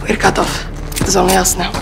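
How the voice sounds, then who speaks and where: A woman's voice: mid-range voice, monotone, fairly quick, gravelly, very noisy. A woman playing a resolute young rebel commander in a video game, giving terse, commanding lines. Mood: tense, hushed resolve. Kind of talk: scripted video game acting. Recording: music behind the voice.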